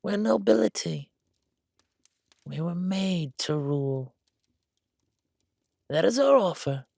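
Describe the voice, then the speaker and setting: A man's voice: high-pitched, animated, slow, vocal fry, a little mellow, dry recording, clean studio sound, voice pushed up, full character voice. A man playing a smug, condescending noble envoy with a haughty, mock-polite and theatrical manner in an audio drama.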